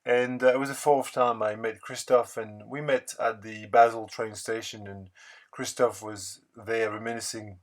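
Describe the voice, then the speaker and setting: A man narrating a casual vlog voice-over in a halting, unscripted, rambling way. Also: fairly low pitch, little pitch movement. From France. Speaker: record-collecting video blogger.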